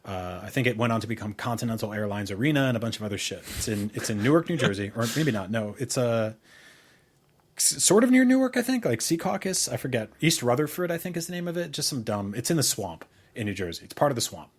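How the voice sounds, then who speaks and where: A man's voice: medium pitch, expressive, quick pace, breathy edge. A man co-hosting a podcast in casual conversation, rambling informally through memories and opinions, with some swearing. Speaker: podcast co-host. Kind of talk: casual chat, reminiscing about music.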